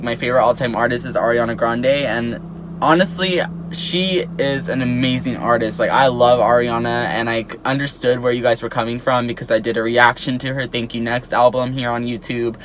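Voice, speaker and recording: An eighteen-year-old guy talks straight to his followers in a chatty vlog, his voice sitting at an ordinary middle pitch. He fills his sentences with "like" and "um", and a faint hum of background noise runs underneath. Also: fairly even intonation, moderate pace, a bit bright. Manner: chatty, peppered with "like" and "um". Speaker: YouTuber. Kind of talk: casual vlog chat to camera.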